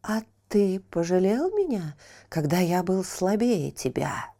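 A woman's voice: on the low side, big pitch swings, takes it slowly, warm tone, exaggerated voice. A woman voicing fire as an angry, vengeful male spirit who reproaches.

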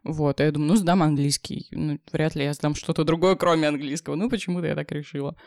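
A young woman, an English teacher, telling her story in a casual chat, with many fillers and quick laughs. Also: low-pitched, fairly even intonation, a little mellow, no echo, clean studio sound. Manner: fast, chatty, lots of fillers and laughs.

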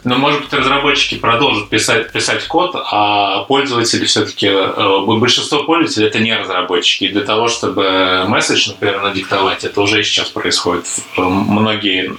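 Bright-voiced man in an echoey room. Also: lowish voice, fairly even intonation, fairly quick, hardly any pauses. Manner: thoughtful, hesitant with frequent fillers. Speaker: conference program committee member. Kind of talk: casual panel discussion.

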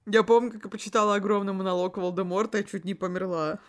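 A woman's voice: mid-range voice, fairly even intonation, fast. A woman co-hosting a podcast, chatting casually between reading a story aloud, with a giggly, theatrical, foul-mouthed manner. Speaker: podcast co-host.

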